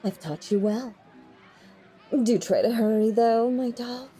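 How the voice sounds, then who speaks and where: A woman playing a possessive, lovestruck stage magician in a roleplay audio drama, theatrical and doting, often breathy or whispering. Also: fairly high pitch, mellow, faint music behind, natural voice. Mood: teasing, impatient. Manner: theatrical, doting, drawn-out hypnotic cooing. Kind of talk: roleplay audio drama, intimate second-person monologue.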